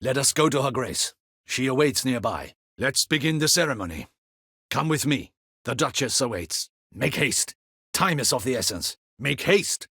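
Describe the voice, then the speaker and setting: An actor playing a stern, proud, dutiful captain of a ducal guard in a fantasy video game, with a formal, clipped, haughty delivery and a husky voice. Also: male voice, mid-range voice, lively intonation, moderate pace, pushed and bright, no room sound, clean studio sound, exaggerated voice. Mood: brisk, commanding.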